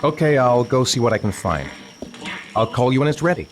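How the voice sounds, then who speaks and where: An actor playing a gentle, patient father looking after his young son in a video game cutscene, soft-spoken but gently firm. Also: medium pitch, animated, fairly quick, a little hiss or hum, lightly acted.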